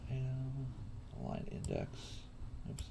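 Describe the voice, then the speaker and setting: A man's voice: mid-range voice, completely flat delivery, takes it slowly. A man teaching coding on a live stream, casually thinking aloud and often questioning himself as he works. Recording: faint typing and room noise. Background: computer keyboard typing and clicking.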